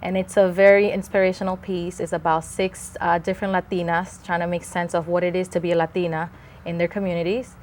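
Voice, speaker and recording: A woman's voice: fairly low pitch, level tone, a little fast, darkish tone. A woman from the Dominican Republic, an actress guest on a talk show, talking enthusiastically and a little rambly about her stage work. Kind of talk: casual interview chat.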